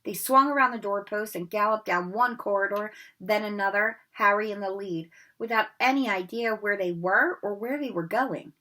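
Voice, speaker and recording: A woman reading a storybook aloud with expressive narration. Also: mid-range voice, moderate pace, hardly any pauses, low-quality recording. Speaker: reader.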